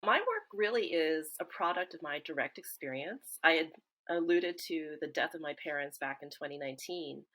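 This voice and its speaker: A woman from the US East Coast, a guest in an interview, speaking in a reflective, measured way with frequent fillers and restarts. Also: low voice, animated, a bit bright. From East Coast of the United States. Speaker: interview guest, grief support founder.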